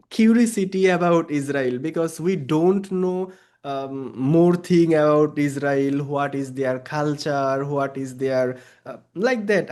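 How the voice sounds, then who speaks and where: A man with a somewhat high voice and a Bangladeshi accent, pitching a service over a video call. Bengali is his first language, and he speaks English hesitantly, with frequent pauses and fillers. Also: a little mellow. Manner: hesitant non-native English with many fillers. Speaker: freelance service provider. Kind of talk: client meeting on video call.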